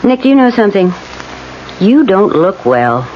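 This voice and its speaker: An actress playing a wryly teasing, caring office secretary, familiar and gently probing, in a radio drama. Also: female voice, mid-range voice, very animated, normal speed, natural voice. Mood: concerned, teasing. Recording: muffled, noisy old radio broadcast.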